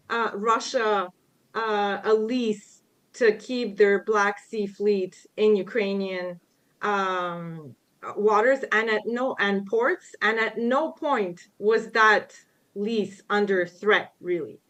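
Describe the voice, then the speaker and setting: A woman lecturer answering audience questions at a public talk, speaking in a measured way with frequent pauses and fillers. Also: a little high, a bit bright. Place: public library event room.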